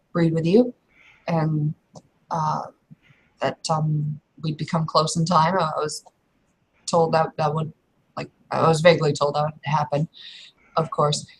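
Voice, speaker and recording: A woman playing a blunt but hesitant female werewolf in a tabletop game, talking in a halting, matter-of-fact way. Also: low-pitched, fairly even intonation, breathy edge, voice pushed down, full character voice, rough recording.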